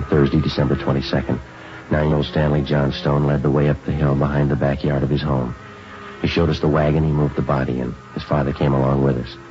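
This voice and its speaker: An actor playing a calm, businesslike police sergeant in an old radio drama, clipped and matter-of-fact. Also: male voice, very deep voice. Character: calm, businesslike police sergeant who narrates his cases. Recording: dull old radio recording, music.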